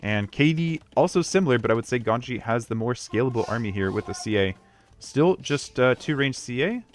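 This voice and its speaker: A man's voice: medium pitch, lively intonation, a little fast. Man casually commentating a strategy game match.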